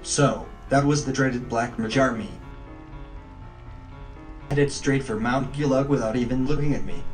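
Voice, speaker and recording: A man's voice: medium pitch, unhurried, pauses often, lightly acted. Man acting a pompous bishop, distant over music. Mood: grave recounting. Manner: pompous, solemn, archaic phrasing. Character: haughty, stern temple bishop guarding a holy place. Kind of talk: video game fandub acting. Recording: distant mic under game music.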